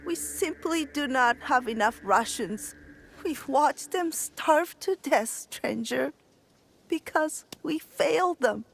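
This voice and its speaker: A woman's voice: high-pitched, expressive, takes it slowly, creaky, exaggerated voice. A woman voicing a pious, reproachful temple nun in a video game, grave and moralizing. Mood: sorrowful. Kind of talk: video game cutscene acting. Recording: light ambient noise behind.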